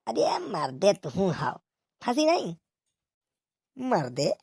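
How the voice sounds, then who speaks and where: A woman's voice: mid-range voice, huge pitch swings, slow, a little mellow, husky, no echo, clean studio sound, exaggerated voice. A woman voicing bickering village policemen in a comic cartoon, with an eastern Uttar Pradesh accent and exclamatory, colloquial banter. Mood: bantering, grumbling. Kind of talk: comic cartoon dialogue in rustic dialect.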